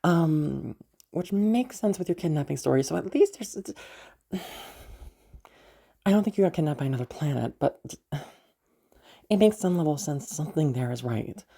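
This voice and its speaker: A woman playing an anxious, sweary frost mage in an audio roleplay, muttering hesitantly and haltingly. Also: low-pitched, warm tone, slightly rough, exaggerated voice. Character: flustered, sweary young frost mage trying to protect a visitor.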